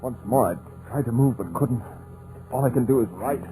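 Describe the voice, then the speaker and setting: A man's voice: mid-range voice, normal speed, close to an everyday voice, poor audio. An actor playing a terrified, paralyzed male writer narrating in a fearful voice, in 1940s radio acting. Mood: dread. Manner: frantic then hushed, fearful narration. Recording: old radio recording with music.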